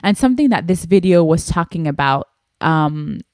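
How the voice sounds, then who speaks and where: A young woman with a Panamanian family background co-hosting a casual podcast chat, animated and leading the discussion. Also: deep voice, normal speed, no room sound, studio quality. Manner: animated, leads the discussion, switches into Spanish for quotes. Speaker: podcast co-host.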